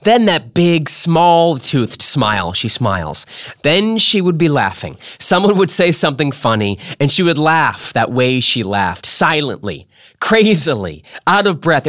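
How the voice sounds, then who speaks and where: A man reading a memoir aloud as a podcast host, in a theatrical, emphatic style with dramatic pauses. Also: fairly high pitch, big pitch swings, a bit bright, no echo, clean studio sound. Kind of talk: expressive dramatic literary reading.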